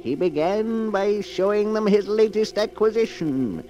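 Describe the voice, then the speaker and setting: A man's voice: high-pitched, expressive, unhurried, lo-fi sound. A man narrating a scripted radio drama in a dramatic 1940s style. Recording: loud music under the voice.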